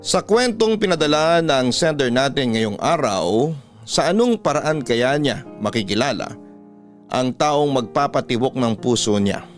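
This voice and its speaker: A man's voice: mid-range voice, expressive, a little slow. A man with a Filipino accent reading letters as a radio host, in an earnest, sentimental classic storytelling style. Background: soft music.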